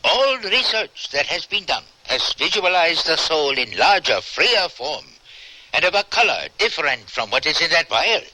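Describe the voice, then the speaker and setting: An actor playing a solemn spirit medium doctor leading a seance, speaking slowly and formally in old-time radio drama style. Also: male voice, a little high, very animated, sharp tone, full character voice, rough recording. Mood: dismissive. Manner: slow, incantatory, formal.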